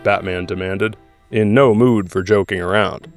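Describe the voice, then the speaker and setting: A male narrator with a fairly low voice delivers scripted dramatic narration. Music plays softly behind him, in the manner of an audio drama. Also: steady tone, unhurried. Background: music score.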